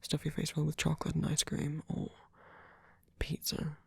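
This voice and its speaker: Performer as a male vampire boyfriend, whispery and very breathy, creaky, tender, attentive, hesitant, ASMR roleplay. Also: mid-range voice, monotone, no room sound, clean studio sound, exaggerated voice. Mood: tender, fussing. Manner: hesitant, halting, rambling, with soft filler murmurs.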